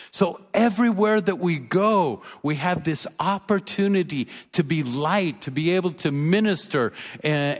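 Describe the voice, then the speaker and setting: Older male pastor, fairly high voice, earnest sermon delivery in a reverberant church. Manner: earnest preaching, rising emphasis, with soft storytelling asides.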